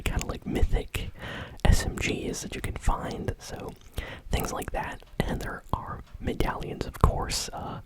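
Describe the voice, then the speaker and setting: A woman speaks entirely in a whisper, hosting an ASMR news show about games. Her delivery is soft and conversational, taking its time from phrase to phrase. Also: extremely high pitch, rough recording. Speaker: host of a gaming news show.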